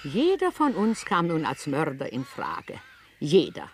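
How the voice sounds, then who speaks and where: A woman's voice: low voice, huge pitch swings, unhurried, slight background noise, full character voice. A woman acting in a radio drama as a shrewd, worried elderly village amateur detective, speaking and narrating precisely. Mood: grave. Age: older. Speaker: actor and narrator in a detective play.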